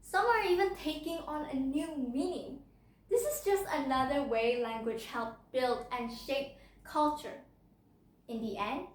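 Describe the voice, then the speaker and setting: High-voiced teen girl. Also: far from the mic. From Indonesia. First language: Hokkien. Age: teenager. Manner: lively, often asks the listener questions. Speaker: student speaker in a speech contest. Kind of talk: prepared persuasive speech to camera. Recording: roomy echo.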